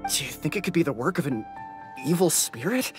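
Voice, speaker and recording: An actor playing an uneasy, gossiping townsman in a video game, hushed, with music behind him. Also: on the high side, lively intonation, fairly quick, close to an everyday voice. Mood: uneasy, gossipy. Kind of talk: video game character acting.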